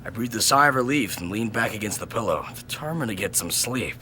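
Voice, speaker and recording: A man reading a first-person horror story in an intense, theatrical manner that swings between hushed and loud. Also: on the low side, animated, brisk, a little hiss or hum. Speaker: narrator and reader of a horror story.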